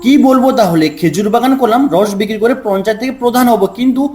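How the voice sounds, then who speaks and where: A man's voice: high-pitched, fast, loud music behind the voice, lightly acted. A man voicing a blustering, boastful village official who owns an orchard in a cartoon, with an agitated, scolding manner. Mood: boastful, irritated. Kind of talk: cartoon voice acting.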